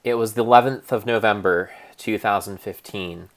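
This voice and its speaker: Male streamer, low voice, unhurried mock-epic narration with stumbles, faint hiss behind. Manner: deadpan storyteller, halting restarts and self-corrections. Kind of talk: mock-dramatic improvised narration.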